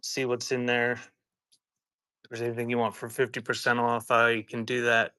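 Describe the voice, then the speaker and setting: Bright-voiced man hosting a casual live sale. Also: mid-range voice, completely flat delivery, a little slow, smooth, no echo, studio quality. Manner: informal, rambling, conversational. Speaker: live auction seller, host. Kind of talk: casual live-selling patter with chatty anecdotes.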